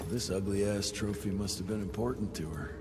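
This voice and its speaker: Man acting surly frontier agent over loud music. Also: on the low side, normal speed, close to an everyday voice. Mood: sardonic. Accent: American. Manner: blunt, irritable. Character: surly, threatening male Wild West agent. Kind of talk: video game cutscene acting.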